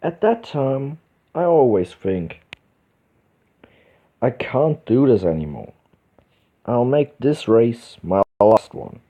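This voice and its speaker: A man from Germany with a German accent hosting a casual let's play, reading visual novel text aloud haltingly and correcting himself as he goes. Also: medium pitch, sing-song, warm tone. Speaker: gaming video host. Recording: dull lo-fi microphone, music behind.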